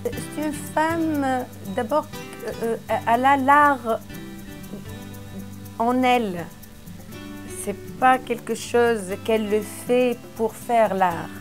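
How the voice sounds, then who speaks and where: Woman, very slow pace, high-ish pitch, deliberate, halting. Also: faint music behind. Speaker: interviewee speaking about the artist. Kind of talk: interview.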